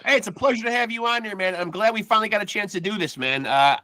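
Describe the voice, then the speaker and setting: Bright, upbeat male podcast host. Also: sits high, fast, dry recording. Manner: energetic, peppers speech with "man". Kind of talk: casual chat.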